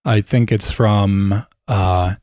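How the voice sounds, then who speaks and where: A young man, joking around as a podcast co-host, his voice a bit scratchy and hoarse. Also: fairly low pitch, steady tone, slow pace, darkish tone, no echo, studio quality. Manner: playful, jokey, campy. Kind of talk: casual chat.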